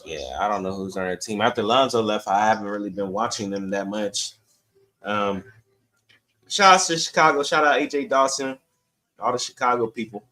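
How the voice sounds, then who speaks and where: A man's voice: lowish voice, moderate pace, pushed and bright. A man co-hosting a sports podcast, chatting casually in slangy, emphatic bursts.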